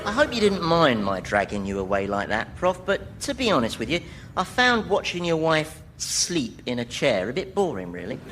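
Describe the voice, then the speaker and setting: A mocking chummy devil in a radio comedy, highish voice, very lively. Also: male voice, moderate pace, music in the background. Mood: teasing. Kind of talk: radio comedy drama acting.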